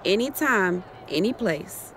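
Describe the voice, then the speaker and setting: A woman's voice: mid-range voice, lively intonation, takes it slowly, a bit bright, a little hiss or hum, poor audio. An actress playing a self-assured female wrestling challenger with a teasing manner. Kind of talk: video game cutscene acting.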